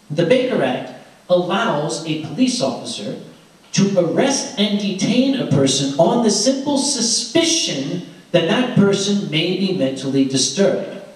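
A man telling a comic story to a live audience in a large lecture hall and acting out the voices in it, heard through a handheld mic from far off. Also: on the high side. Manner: animated, acts out the voices in his story. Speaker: convention storyteller. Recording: echoey hall from far off, noisy.

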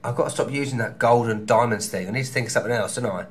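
Cheeky London man vlogging close-up. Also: mid-range voice. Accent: London English. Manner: chatty and cheeky, quick asides. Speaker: travel vlogger. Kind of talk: casual advice vlog. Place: small hotel room. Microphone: camera held close at arm's length.

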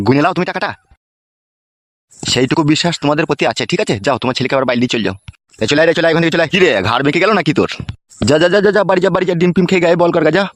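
A man's voice: fairly high pitch, rapid-fire, natural voice. A man voicing bossy, short-tempered village men in a comedy cartoon, with a brusque, coarse and commanding manner. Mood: irritated.

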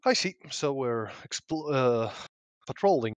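A man's voice: medium pitch, animated, takes it slowly, dry recording. Man with a dry, close sound. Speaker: player in a tabletop game. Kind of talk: casual game chat.

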